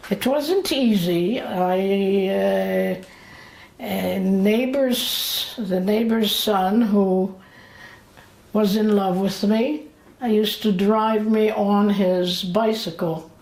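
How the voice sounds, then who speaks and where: Slow, gravelly woman in her late eighties. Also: medium pitch, darkish tone. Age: late 80s. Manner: halting, with frequent fillers and pauses. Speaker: interviewee. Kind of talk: slow, reflective memories. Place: indoors. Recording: roomy echo.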